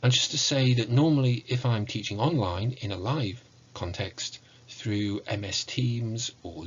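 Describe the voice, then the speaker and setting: A middle-aged man delivers an online lecture. His voice is lowish and husky, his delivery measured and explanatory. The recording is a little muffled. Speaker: university lecturer in music. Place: small indoor room with bookshelves.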